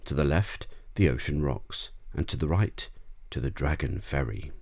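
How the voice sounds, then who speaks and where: Very deep-voiced man reading a bedtime story. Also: moderate pace, vocal fry, a little mellow. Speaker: audiobook narrator. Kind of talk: bedtime story narration, ASMR.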